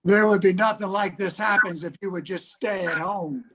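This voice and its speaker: A man playing a scolding, dismissive heckler with a husky voice in a reader's theater. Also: high-pitched, fairly even intonation, moderate pace, a bit bright. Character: scolding, dismissive male heckler of women marchers.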